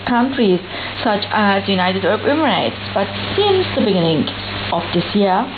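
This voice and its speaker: A woman's voice: mid-range voice, very animated, normal speed. A woman reading a scripted radio news bulletin as newsreader. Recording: tinny shortwave radio with sizzling hiss.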